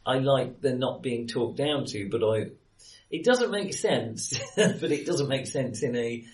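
A man's voice: mid-range voice, expressive, unhurried. A man chatting casually about films, often hesitating and correcting himself as he goes. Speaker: film podcast host. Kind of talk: casual film discussion. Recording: roomy, echoey sound.

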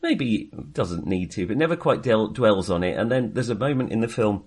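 A man with a fairly low voice discusses films casually as a podcast co-host. His delivery is hesitant and halting, often doubling back on a phrase before moving on. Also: expressive, darkish tone, breathy edge.

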